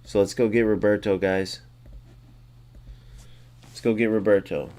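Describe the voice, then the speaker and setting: A man with a somewhat low voice hosts a gaming channel in a loose, chatty way. He talks straight to his viewers as guys and thinks aloud, working through numbers under his breath. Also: steady tone, unhurried, a little mellow. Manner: thinks aloud, muttering sums to himself. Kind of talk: casual game commentary.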